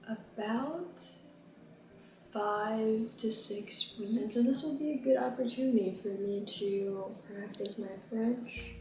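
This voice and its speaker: A woman's voice: on the high side, dark tone, a little hiss or hum. A young woman vlogging casually while she cooks, chatty and rambling, with plenty of fillers. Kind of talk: casual chat while cooking. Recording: roomy kitchen, mic at a distance.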